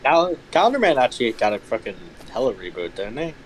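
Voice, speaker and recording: Animated man swearing through casual banter. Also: mid-range voice, fast talker, a little hiss or hum, poor audio. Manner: profane, animated, jokey.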